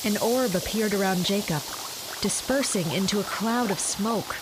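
A woman's voice: medium pitch, normal speed, noisy background, natural voice. A woman narrating a fantasy audio drama as a composed storyteller, reading scripted action.

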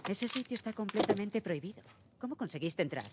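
A woman's voice: mid-range voice, animated, fast talker, heavy background noise, lightly acted. A woman playing a tough, decisive smuggler in a video game, commanding and no-nonsense, with a Castilian Spanish accent. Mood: questioning, skeptical. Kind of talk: dramatic video game voice acting.

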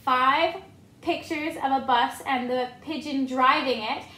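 A woman's voice: sits high, fairly even intonation, a little slow, sharp tone. A woman in her twenties reading a picture book to children, encouraging them and asking questions with exaggerated expression. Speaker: storyteller. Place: living room, indoors. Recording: roomy home recording, some noise.

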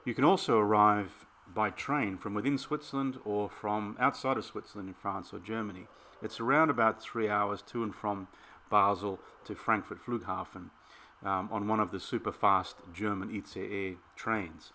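A man's voice: on the low side, fairly even intonation, normal speed, bright, forceful tone. A middle-aged Australian man hosting a travel vlog, casually giving practical tips.

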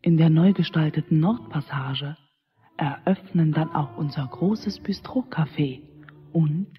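Slow, low-voiced store announcer. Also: female voice. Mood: formal, promotional. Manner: formal, promotional. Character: polished female shopping centre announcer. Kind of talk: public announcement in radio drama. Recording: dull lo-fi loudspeaker sound with music. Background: music.

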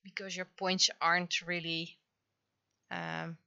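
A woman livestreamer thinks aloud in short, halting phrases at a slow pace. Her voice is bright and clear-cut in tone, though the recording is slightly dull. It sounds close and dry, like casual chat over desk work. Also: on the low side, flat delivery, no echo. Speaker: livestreamer and webcomic artist.

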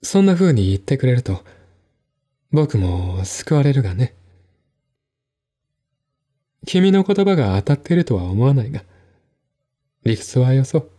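A man acts out a melancholy gentleman in a radio drama. His voice is mid-pitched, dark and rather rough-edged. He confides earnestly, pleading like someone troubled. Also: very animated, moderate pace. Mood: resigned, grateful.

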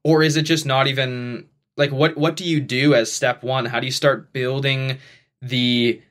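A man in his twenties hosting a podcast from his bedroom, talking quickly with many restarts. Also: medium pitch, level tone, fairly bright tone. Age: 20s. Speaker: podcast host. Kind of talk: casual chat. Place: bedroom at home. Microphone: studio mic on boom arm, headphones.

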